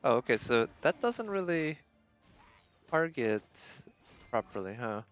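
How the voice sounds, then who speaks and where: A game streamer with an androgynous voice talks through play in an unhurried, casual way. The delivery is tentative and musing, like someone thinking aloud, with music faintly behind it. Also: mid-range voice, big pitch swings, clean tone, highs cut off. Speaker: game livestream host. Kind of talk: casual live gameplay commentary.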